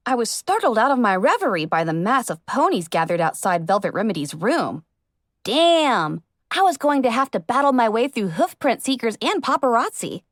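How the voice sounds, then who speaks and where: High, animated woman narrating a story. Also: no echo, clean studio sound. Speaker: audiobook narrator. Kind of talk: expressive first-person story narration.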